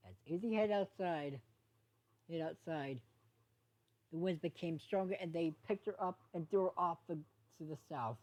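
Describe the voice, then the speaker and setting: A woman reading a story aloud as narrator, haltingly, often stumbling and repeating words. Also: fairly low pitch, a little mellow. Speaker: fan fiction reader.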